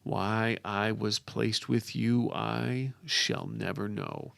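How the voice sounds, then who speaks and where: A condescending spirit, voiced by a male narrator, sneers from inside a fantasy hero's head. The voice sits low, gravelly and slow, each word set down with deliberate mockery. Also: steady tone, a bit bright, no room sound, exaggerated voice. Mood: mocking. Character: scornful male spirit voice inside a warrior's mind. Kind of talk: dramatic fantasy audiobook character.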